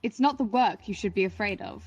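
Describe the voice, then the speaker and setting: A woman's voice: medium pitch, lively intonation, fairly quick, a little mellow, breathy edge, dull audio. A woman playing a cold, condescending villainous mother in a dystopian audio drama. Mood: cold, menacing. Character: haughty, cold villainous mother running labour camps.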